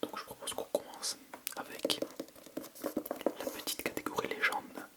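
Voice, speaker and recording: An ASMR creator with a French accent from France whispers softly and breathily in an informal, hesitant way, thinking aloud while chatting unscripted close to the microphone. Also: measured pace. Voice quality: soft breathy unvoiced whisper. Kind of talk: ASMR whispering, casual unscripted quiz chat.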